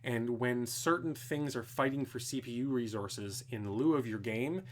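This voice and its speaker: A man's voice: mid-range voice, moderate pace, slight background noise. Rambling man talking tech. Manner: rambling, technical, swears casually, restarts often. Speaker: streamer answering viewer questions. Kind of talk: casual live Q&A. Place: home, indoors.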